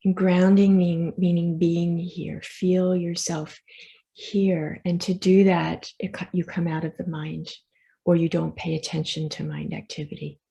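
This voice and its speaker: A woman leading a guided meditation as the teacher, speaking softly and reassuringly in gentle, unhurried instructions with pauses. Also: fairly low pitch, level tone, gravelly, lo-fi sound.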